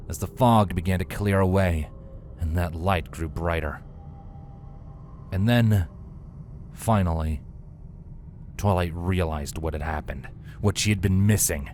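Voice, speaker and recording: A man's voice: sits low, a little mellow, a little hiss or hum. A man reading a scripted fantasy story aloud as a dramatic narrator. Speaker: fan fiction narrator.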